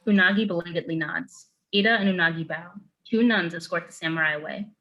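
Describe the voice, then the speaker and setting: A woman narrates a screenplay's stage directions. Her voice sits a little low but has a very bright, forward tone. She reads matter-of-factly in clipped phrases. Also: fast, muffled recording.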